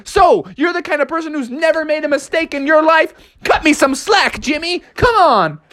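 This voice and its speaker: A storyteller voicing Froggy, a naive, eager storybook character who talks in a bouncy, excitable way. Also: moderate pace, no room sound, clean studio sound. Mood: defensive. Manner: excitable, bouncy, high and squeaky. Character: naive cheerful cartoonish Froggy, eager cook.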